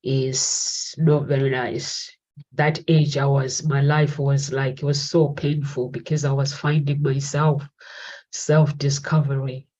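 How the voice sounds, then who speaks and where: A woman in her forties speaks in a low, unhurried voice, sharing an earnest and reflective motivational talk with her viewers. She repeats her key phrases as she works through each thought. The recording sounds dull and lo-fi. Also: little pitch movement, warm tone. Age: 40s. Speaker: talk show host.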